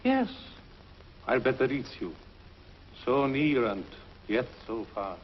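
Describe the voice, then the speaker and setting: A brisk prison warden. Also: male voice, medium pitch, big pitch swings, slight background noise. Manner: brisk, courteous, authoritative. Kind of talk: 1950s TV drama acting. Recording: dull lo-fi 1950s TV soundtrack.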